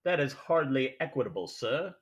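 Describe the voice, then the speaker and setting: An actor playing a genial, cunning, courtly fat crime boss in a 1940s-style radio play, with a pompous, wordy manner. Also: male voice, medium pitch, lively intonation, normal speed, close to an everyday voice. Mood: coy, reproving. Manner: pompous, theatrical, elaborately formal.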